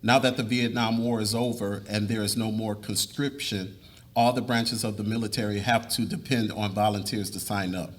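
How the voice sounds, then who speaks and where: A man's voice: on the low side, fairly even intonation, moderate pace, lightly acted. A man reading the part of an earnest, polite young college student in a dramatic reading of a novel.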